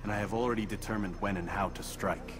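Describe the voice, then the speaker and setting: Game hero: man playing resolute assassin, lowish voice, terse and formal, music underneath. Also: fairly even intonation, quick pace, lightly acted. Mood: grave, resolute. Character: grave, resolute male medieval assassin. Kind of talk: dramatic video game cutscene acting. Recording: music behind the voice.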